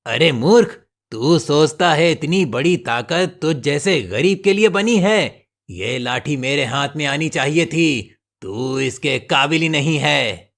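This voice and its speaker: पुरुष की आवाज़ में खरखराता, मक्कार गाँव का खलनायक. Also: male voice, a little high, expressive, creaky, a bit bright, full character voice. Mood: scornful, scheming. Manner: taunting, drawn-out, domineering. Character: Kaluram, greedy, cunning, mocking village villain. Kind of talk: Hindi moral story cartoon voice acting.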